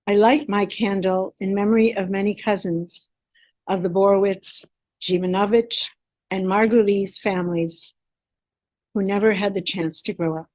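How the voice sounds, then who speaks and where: A woman from the United States speaking a measured, solemn dedication at a remembrance ceremony. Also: mid-range voice, steady tone, a little mellow, no room sound, poor audio. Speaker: candle lighter at a remembrance ceremony.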